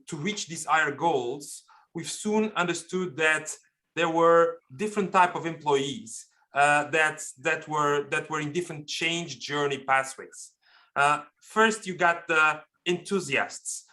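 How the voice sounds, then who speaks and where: A man's voice: a little high, pushed and bright. A middle-aged man from Portugal, answering interview questions as a podcast guest in an explanatory, emphatic way. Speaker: podcast guest, pharmaceutical executive.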